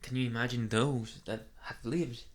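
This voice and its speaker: A man voicing a soft, flustered young male vampire in an ASMR boyfriend roleplay, speaking hesitantly and earnestly in an intimate murmur. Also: medium pitch, fairly even intonation, moderate pace, lightly acted. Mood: earnest, proud.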